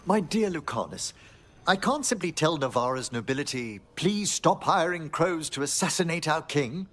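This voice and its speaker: An actor playing a courteous, scholarly gentleman necromancer in a fantasy game, with formal, genteel phrasing. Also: a little high, animated, moderate pace. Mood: polite, wry.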